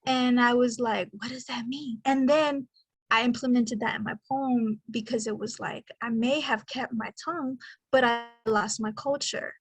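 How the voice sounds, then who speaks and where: A woman's voice: a little high, steady tone, moderate pace, breathy edge, dry recording, poor audio. A woman in her twenties co-hosting a podcast, talking in a reflective, rambling way with many fillers. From Mexican American. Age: 20s. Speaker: podcast co-host. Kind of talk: casual conversation.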